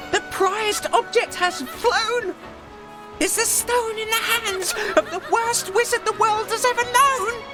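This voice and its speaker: A playful storybook narrator for a game, over music. Her high voice is unhurried and theatrical, with very wide swings in pitch. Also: heavily acted. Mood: dramatic. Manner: theatrical, enunciated. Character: playful female storyteller narrating a rhyming fairy tale.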